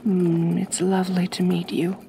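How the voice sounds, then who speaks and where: A woman playing a kind but possessive, aristocratic vampire mistress in a soft-spoken ASMR roleplay, gentle and genteel, often close to a whisper. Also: fairly low pitch, completely flat delivery, normal speed, vocal fry, a little hiss or hum. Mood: gentle, soothing. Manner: gentle, soothing, genteel, formal phrasing.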